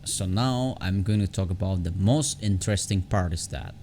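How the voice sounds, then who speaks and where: A man hosting an unscripted software tutorial in a halting, repetitive way, often restarting his phrases. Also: sits low, a little hiss or hum. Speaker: tutorial host.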